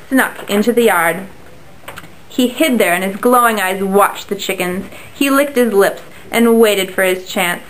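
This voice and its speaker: A young woman narrating a fable with a steady, clear reading for a class puppet show. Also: on the high side, rough recording. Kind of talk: read-aloud storybook narration for a class project.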